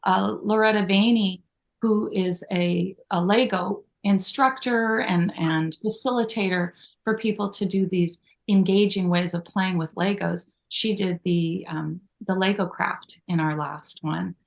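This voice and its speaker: A woman's voice: medium pitch, fairly even intonation, unhurried, creaky. A middle-aged woman, co-founder of a magazine, talking in an interview with a grateful, storytelling manner full of asides. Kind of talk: conversational interview.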